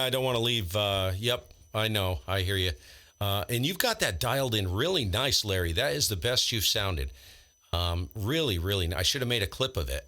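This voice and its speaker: Male ham operator, low pitch with a forceful bright edge, informal rambling explainer. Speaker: amateur radio operator and livestreamer. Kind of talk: casual ham radio chat.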